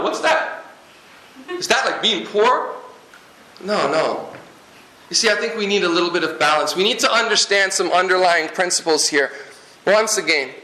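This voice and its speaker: A man from Guyana preaching a sermon in a lively, humorous way, with jokes and asides to the congregation. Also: high-pitched, echoey room, rough recording. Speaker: pastor.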